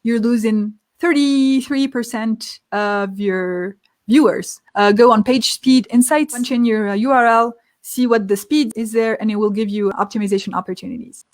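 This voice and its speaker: A woman with a slightly high voice lays out marketing advice in an energetic, emphatic way. She explains things one step at a time, like a guest expert on an interview show. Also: moderate pace. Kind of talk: tips presentation in an interview.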